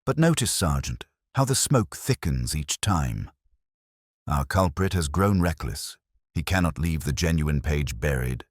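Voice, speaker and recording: A man narrating as a mild, thoughtful inspector from a mystery storybook, with a very deep voice. He reasons softly and deliberately, with expressive rises and falls. The sound is dry. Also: slightly rough, no room sound, full character voice. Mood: quietly reasoning. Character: mild, thoughtful male English police inspector. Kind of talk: storybook character dialogue.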